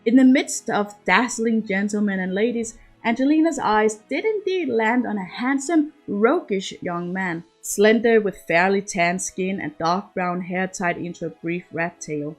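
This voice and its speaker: A woman's voice: mid-range voice, expressive, unhurried, hardly any pauses, soft background music. A woman reading a scripted romantic story aloud as an amateur narrator. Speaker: amateur fan fiction reader.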